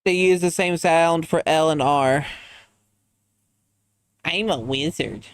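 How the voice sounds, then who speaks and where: A man's voice: a little high, takes it slowly, no echo. A man streaming a game in casual chat, rambling and reacting to viewers with goofy asides. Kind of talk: casual chat while gaming.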